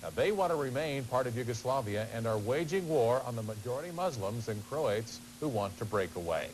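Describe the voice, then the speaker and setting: A male news anchor reading studio news in a steady, authoritative way. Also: mid-range voice, brisk, slight background noise, low-quality recording.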